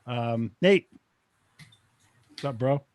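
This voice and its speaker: A man's voice: mid-range voice, very slow, a little mellow. A man hosting a live show, talking loosely with lots of fillers. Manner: loose, filler-heavy, friendly. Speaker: host.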